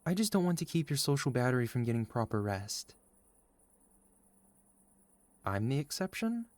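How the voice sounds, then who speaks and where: A man playing a sweet, caring, gently teasing boyfriend in a soft, reassuring ASMR roleplay. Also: medium pitch, big pitch swings, fast, dry recording, close to an everyday voice. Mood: affectionate, playful. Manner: soft, tender, reassuring, playful. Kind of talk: ASMR boyfriend roleplay, intimate scripted monologue.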